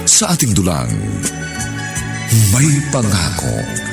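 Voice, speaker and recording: Slow, sweeping male radio announcer. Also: low-pitched, wildly swooping pitch, vocal fry. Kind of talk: scripted dramatic announcing. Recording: loud music under the voice.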